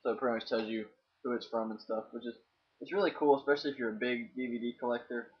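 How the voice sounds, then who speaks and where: A man's voice: mid-range voice, fast talker. A young man gives a casual, unscripted review to camera in a hesitant, rambling way, repeating himself. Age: young adult, about 18. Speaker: amateur DVD reviewer. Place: small room indoors with posters. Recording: dull, lo-fi webcam audio.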